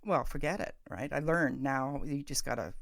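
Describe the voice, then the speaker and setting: Podcast interviewer, middle-aged woman, heavy vocal fry, encouraging conversational style, very dry recording. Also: a bass voice, normal speed, no room sound. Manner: enthusiastic, encouraging, informal.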